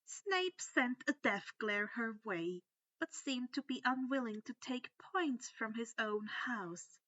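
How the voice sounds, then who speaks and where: A woman's voice: fairly high pitch, a bit bright, no room sound, highs cut off. A woman reading a scripted fan fiction story aloud as its narrator.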